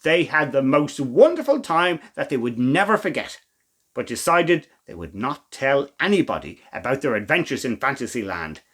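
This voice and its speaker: A man reading a children's story in an expressive, theatrical way. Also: mid-range voice, moderate pace.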